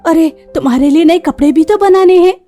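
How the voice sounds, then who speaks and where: A woman's voice: extremely high pitch, a little fast, mellow. A woman voicing a kind, self-sacrificing young tailor sister-in-law in a cartoon, caring and earnest. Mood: warm, reassuring. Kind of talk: cartoon story dialogue. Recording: music behind the voice.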